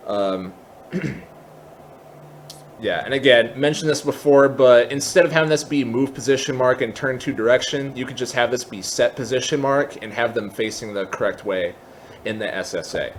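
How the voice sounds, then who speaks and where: A man talks through an unscripted software tutorial in a casual, explanatory way, correcting himself as he goes. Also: medium pitch, slight background noise. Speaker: tutorial host.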